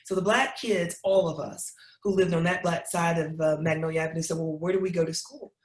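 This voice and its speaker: A woman's voice: fairly low pitch, steady tone, a little fast. A middle-aged woman from Knoxville, telling stories to an audience in an emphatic, preacherly, repetitive way. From Knoxville, Tennessee. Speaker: guest speaker. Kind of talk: storytelling talk. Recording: roomy echo.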